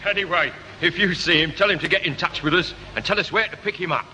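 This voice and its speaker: A breezy air force police corporal trading jokes in a 1960s radio comedy. His voice is high and extremely bright and piercing. The old broadcast has a long reverb. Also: quick pace, slight background noise. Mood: teasing. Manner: joking, breezy banter.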